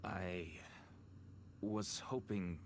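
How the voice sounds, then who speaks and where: An actor playing a weary, earnest middle-aged merchant father who smuggles, speaking in a measured way in a video game. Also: deep voice, fairly even intonation, slight background noise. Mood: sorrowful.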